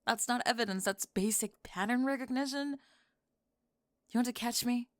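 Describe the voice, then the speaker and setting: A young woman playing a sharp-tongued, defensive goth college student who is secretly smitten, in an ASMR roleplay, speaking in halting, clipped, emphatic phrases. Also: fairly high pitch, no room sound, studio quality, natural voice. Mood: dismissive, defensive. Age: young adult. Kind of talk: ASMR roleplay monologue, intimate audio drama.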